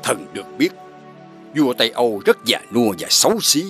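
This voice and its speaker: Animated, cautious court adviser. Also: male voice, mid-range voice, moderate pace. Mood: worried, deferential. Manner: formal, respectful. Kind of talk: animated fairy tale acting. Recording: clear music behind.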